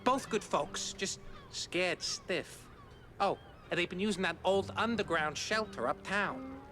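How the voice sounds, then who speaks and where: A man's voice: sits high, measured pace, pushed and bright. A man voicing a fawning, shifty young rodent informant in a 1930s-style cartoon game, stammering and wheedling. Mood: eager, shifty. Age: young adult. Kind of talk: 1930s cartoon gangster-film acting. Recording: music behind the voice.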